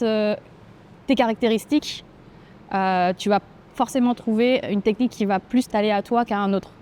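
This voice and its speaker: A woman in her twenties from French Guiana, a grappling athlete answering interview questions in a thoughtful, hesitant way with frequent pauses and fillers. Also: medium pitch, mellow, a little hiss or hum. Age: 20s. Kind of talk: documentary interview.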